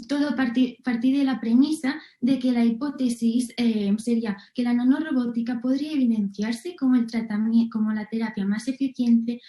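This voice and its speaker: A woman's voice: on the high side, mellow. A young woman from Barcelona giving a formal, didactic academic presentation as a student researcher over a video call. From Barcelona, Spain. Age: young adult. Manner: formal, didactic, with rhetorical questions. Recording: roomy, echoing video call audio.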